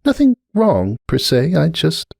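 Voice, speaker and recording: A man's voice: on the high side, huge pitch swings, a little slow, creaky, darkish tone, dry recording, studio quality, voice pushed up, exaggerated voice. A man voicing a kindly, flustered middle-aged mother pony in a dramatic story reading, hesitant and apt to trail off. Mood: awkward, hesitant.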